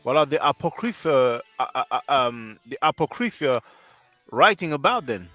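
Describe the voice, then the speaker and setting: A man's voice: mid-range voice, sing-song, normal speed, sharp tone, faint music behind. A man in his thirties whose first language is French, hosting a livestream and lecturing earnestly while answering chat on a headset mic. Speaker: livestream host.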